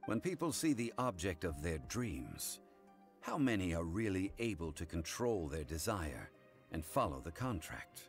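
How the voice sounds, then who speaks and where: Calm scholarly gentleman, very low voice, expressive intonation, formal, deliberate, unyielding game character. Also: soft background music, full character voice. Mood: composed, probing. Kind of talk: English game voice acting.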